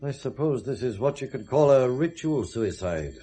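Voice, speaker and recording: Mellow, world-weary policeman. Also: medium pitch, lively intonation, moderate pace, slightly rough. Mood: sombre, rueful. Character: world-weary, compassionate senior policeman at a death scene. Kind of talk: radio drama dialogue. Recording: vintage 1970s radio recording.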